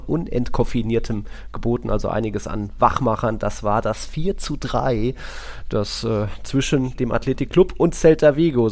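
Creaky-voiced man hosting a football podcast. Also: mid-range voice, darkish tone. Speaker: podcast host. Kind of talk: casual football podcast discussion.

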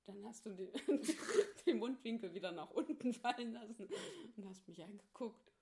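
An easygoing woman of 27 speaks with a Thuringian accent as a podcast guest. She laughs often and keeps her answers short, in a voice a little higher than most women's. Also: animated, normal speed, vocal fry, darkish tone. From southern Thuringia, Germany. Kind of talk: casual chat.

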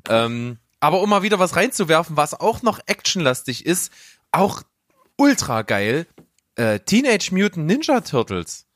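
A man's voice: a little high, very animated, a little slow, a bit bright, no room sound, clean studio sound. A man chatting casually as a podcast host in a group conversation. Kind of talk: casual group chat about childhood TV.

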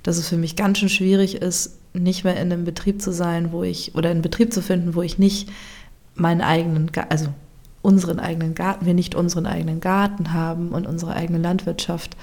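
A woman chef in a casual interview, speaking reflectively and often pausing to search for words. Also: on the low side, flat delivery, darkish tone. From Germany.

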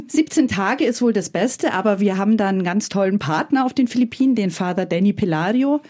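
A woman's voice: mid-range voice, expressive, brisk, darkish tone. A woman from Franconia with a Franconian German accent, a guest chatting and telling stories hesitantly in an interview. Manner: chatty, hesitant, often laughing. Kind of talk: casual conversational storytelling.

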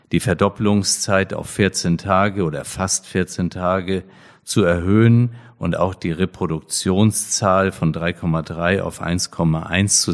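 A middle-aged man hosts a podcast, his voice sitting very low. He reads his intro in a measured, formal way before turning to his guest. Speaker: podcast host, hospital director. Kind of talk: scripted podcast intro, then interview.

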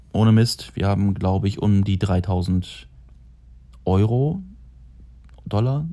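Low, mellow-voiced man rambling casually. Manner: rambling, self-correcting, frequent fillers. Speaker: podcast host. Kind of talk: casual solo podcast talk. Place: holiday rental in Florida.

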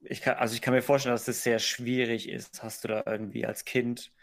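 A man hosting a casual podcast, asking questions in a relaxed, slangy way. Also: medium pitch, a little fast, gravelly. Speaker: podcast host.

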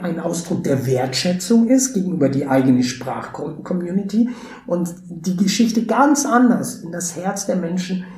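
Man, warm voice, roomy sound. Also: fairly high pitch. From Brazil. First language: Portuguese. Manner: thoughtful, hesitant with frequent fillers and restarts. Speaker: podcast guest, theatre educator. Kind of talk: conversational interview. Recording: roomy echo, faint background hiss.

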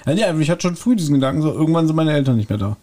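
Chatty German man, fairly high voice. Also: animated, racing, warm tone. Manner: chatty, laughs easily, rambling restarts. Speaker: podcast co-host. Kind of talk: casual chat.

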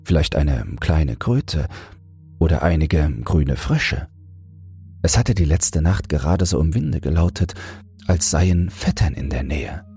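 A man with a soft, breathy voice narrating a fairy tale as a bedtime audiobook. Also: very deep voice, animated, slow. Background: soft music.

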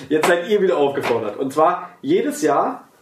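A somewhat high-voiced man from Berlin banters on a casual podcast, chatty and quick to laugh as he teases his co-host. His voice rings in a noticeably echoey room. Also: very animated, background noise. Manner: chatty, laughs often, teasing.

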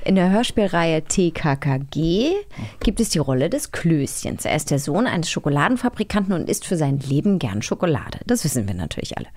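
A woman's voice: low-pitched, big pitch swings, a little fast, darkish tone. A woman co-hosting a podcast in casual, playful, colloquial chat. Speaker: podcast co-host.

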